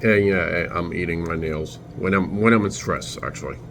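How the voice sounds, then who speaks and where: A young man with a Turkish accent talks to the camera in a deep, low voice, stopping and restarting his sentences as he searches for words. Steady background noise runs under his speech. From Turkey. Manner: halting, restarts, self-corrects often. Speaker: vlogger. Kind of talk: casual storytelling. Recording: noisy background ambience.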